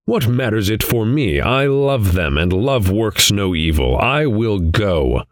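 A man performs a proud, impulsive hero from a storybook. He declaims earnestly in old-fashioned language. His pitch moves widely and the sound is dry and close. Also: very animated, slow, dry recording. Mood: defiant. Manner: earnest, declamatory, archaic diction. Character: proud, impulsive male narrator-hero, stubborn and defiant. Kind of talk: audiobook character dialogue.

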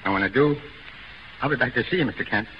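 An actor playing a brash, bitter, hard-drinking burlesque comedian in a slangy, theatrical 1930s radio drama style. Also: male voice, mid-range voice, fast talker, noisy background, close to an everyday voice. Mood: defeated. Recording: muffled, echoey 1930s radio broadcast.

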